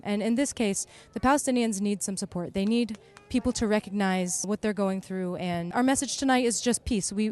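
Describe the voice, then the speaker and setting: A woman's voice: mid-range voice, darkish tone. A woman speaking as a vigil organizer, making an earnest, articulate and persuasive statement. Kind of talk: earnest spoken interview statement.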